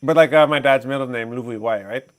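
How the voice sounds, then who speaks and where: Male co-host, laid-back livestream talk, mid pitch, reads news aloud with small stumbles. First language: English, Fijian not first language. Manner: relaxed, laughs often, reads haltingly. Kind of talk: casual chat, reading a news article aloud.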